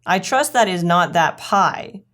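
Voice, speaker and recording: A woman's voice: on the low side, expressive, a little slow, a bit bright. Prim lady cat hostess, acted by a woman, genteel, fussy, formally polite, storybook character. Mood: puzzled, prim.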